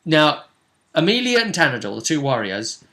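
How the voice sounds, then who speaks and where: Bright-voiced man recapping a game. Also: fairly high pitch, big pitch swings, normal speed. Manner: storytelling, seeks agreement with tag questions. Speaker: game master and show host. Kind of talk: casual recap of a tabletop role-playing session.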